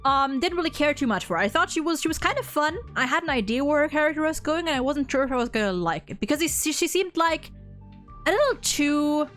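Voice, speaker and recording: A woman with a fairly high voice hosts a casual game discussion. She rambles, doubles back on her words and swears offhandedly. Music plays behind her. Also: animated, fast, a bit bright. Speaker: host.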